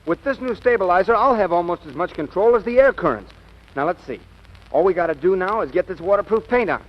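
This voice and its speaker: An eager, headstrong teenage boy in 1940s radio comedy. His slangy, boyish voice sits fairly high and jumps around excitably, heard through a dull vintage broadcast. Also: fast talker. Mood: eager, cocky.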